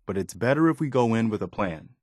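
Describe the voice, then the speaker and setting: An actor voicing an earnest, resolute militia leader who rallies settlers, in video game dialogue. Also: male voice, fairly low pitch, animated, quick pace, a little mellow, no room sound, studio quality. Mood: businesslike.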